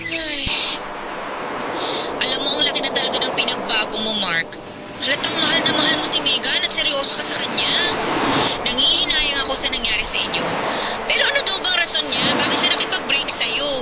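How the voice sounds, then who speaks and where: A woman's voice: high voice, animated, fairly quick, rough recording. An actress playing a chatty, sympathetic young woman who comforts her heartbroken friend in a radio drama, with music behind. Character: caring young woman, close friend and coworker.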